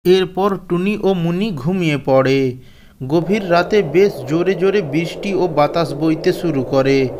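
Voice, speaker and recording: Higher-pitched man narrating a story. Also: noisy background. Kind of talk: scripted cartoon story narration.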